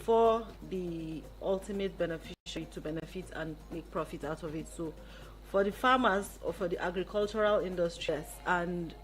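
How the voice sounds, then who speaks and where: A woman's voice: fairly low pitch, moderate pace, hardly any pauses, slight background noise, rough recording. A woman with a Nigerian accent giving considered, explanatory answers as a radio guest. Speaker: guest, foundation founder. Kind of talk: interview answers. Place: radio studio.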